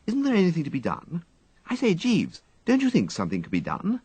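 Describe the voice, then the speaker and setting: A man voicing a bumbling, kind-hearted young gentleman in a storybook, with a breezy, exclamatory upper-class English accent. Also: high-pitched, wildly swooping pitch, fairly quick, husky, dry recording, pitched above the performer's own voice, exaggerated voice. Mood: earnest.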